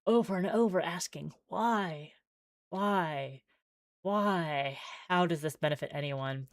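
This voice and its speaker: A woman's voice: fairly low pitch, slow pace, no room sound, studio quality. A woman streaming a visual novel, reading the text aloud theatrically with wry, exclaiming asides.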